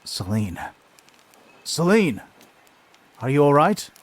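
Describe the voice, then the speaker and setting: An actor playing a brash, tormented last heir of a noble line in a video game cutscene, with a dramatic, sardonic delivery. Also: male voice, on the high side, big pitch swings, slow pace. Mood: tense, worried.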